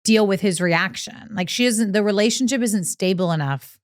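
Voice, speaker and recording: A young woman co-hosting a podcast, chatting casually and telling stories with quick opinions. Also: medium pitch, dry recording, clean studio sound. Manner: animated storyteller, quick opinions. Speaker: podcast co-host. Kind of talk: casual recap chat.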